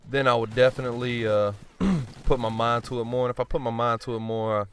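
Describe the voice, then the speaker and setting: A man giving casual gameplay commentary, blunt and profane, rambling and joking at himself, often clearing his throat. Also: mid-range voice, fairly even intonation, a little fast, a little hiss or hum. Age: adult. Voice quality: smooth voice. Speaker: gaming commentator.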